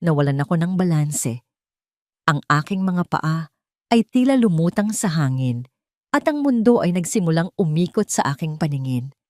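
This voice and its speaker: A woman's voice: deep voice, very animated, normal speed, a little mellow, no room sound, studio quality. A woman reading a scripted first-person story in a solemn, melodramatic way. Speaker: story narrator.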